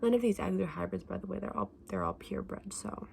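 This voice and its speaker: Whispering, creaky fantasy shopkeeper woman, softly acted. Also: medium pitch, a little fast, a little hiss or hum. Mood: fond, explaining. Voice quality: breathy whisper, soft. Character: friendly, candid female dragon egg shop keeper. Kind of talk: ASMR roleplay, whispered and soft-spoken.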